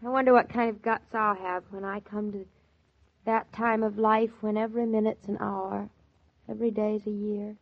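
An actress playing a tender-hearted young American newlywed wife in a 1940s radio drama. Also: female voice, medium pitch, flat delivery, moderate pace, close to an everyday voice. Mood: wistful, tender. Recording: muffled 1940s radio transcription.

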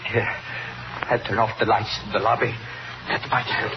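A man's voice: on the low side, flat delivery, moderate pace, natural voice. A man acting a weary, grieving, stern hotel man in a 1950s radio thriller. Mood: subdued. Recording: noisy, dull vintage radio broadcast.

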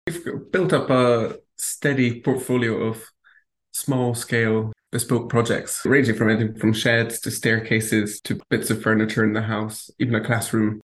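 A man architect calmly explaining his bench design with measured phrasing and small fillers. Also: fairly low pitch. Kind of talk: interview answers.

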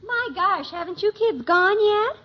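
An actress playing a melodramatic, easily exasperated teenage big sister in a 1950s radio sitcom. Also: female voice, very high-pitched, a little slow. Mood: exasperated, despairing. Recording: dull old radio recording.

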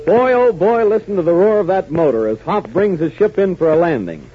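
Animated 1940s American radio announcer. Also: male voice, high voice, brisk. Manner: dramatic, emphatic delivery. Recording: muffled, hissy 1940s radio recording.

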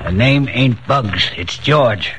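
An actor playing a hot-tempered gangster fresh out of prison, with tough, clipped slangy talk, in a 1940s radio crime drama. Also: male voice, medium pitch, expressive, takes it slowly, background noise. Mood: menacing. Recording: old radio broadcast, music behind.

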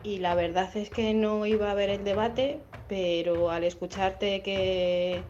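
A woman's voice: medium pitch, level tone, slow, a little mellow, a little hiss or hum. A woman with an accent from Spain leaving a casual voice message as a radio listener. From Madrid, Spain. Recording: dull voice message under clear music.

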